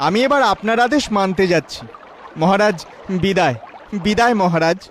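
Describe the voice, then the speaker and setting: A man's voice: high-pitched, moderate pace, faint music behind, natural voice. A man voicing a plucky, crafty young brother in a cartoon, with a pleading, singsong manner. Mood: earnest, pleading. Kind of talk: Bengali cartoon dubbing.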